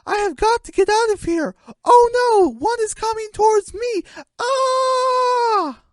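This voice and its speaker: A woman voicing a frantic poultry field reporter in a squeaky voice, breathless and melodramatic. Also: slow, no echo, studio quality. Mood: panicked. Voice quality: squeaky, high put-on voice.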